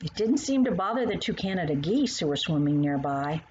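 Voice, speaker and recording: A woman narrating a children's story as its author, in an expressive style that acts out the dialogue. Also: fairly low pitch, brisk, dull audio, a little hiss or hum.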